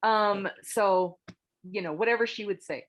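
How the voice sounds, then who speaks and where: A woman hosting a table read, giving informal, tactful feedback to the group in a halting, encouraging way. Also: mid-range voice.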